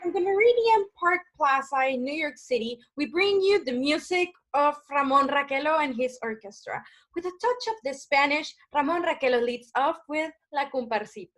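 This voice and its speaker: A woman's voice: sits high, lively intonation, normal speed, a bit bright. A young woman playing a smiling, gracious dance-music announcer in a radio play. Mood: cheerful. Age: young adult. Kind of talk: scripted 1930s radio music introduction. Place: room at home by a window.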